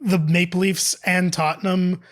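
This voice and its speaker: A man with a noticeably high voice co-hosting a podcast. He chats casually, with a wry, self-deprecating way of putting things. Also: level tone, normal speed, a bit bright. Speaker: podcast co-host. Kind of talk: casual chat.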